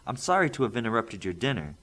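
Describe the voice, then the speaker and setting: A man reading the part of a polite, firm official woman in her thirties, formal and measured, in an audiobook. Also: fairly low pitch, sing-song, a bit bright. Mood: serious, firm.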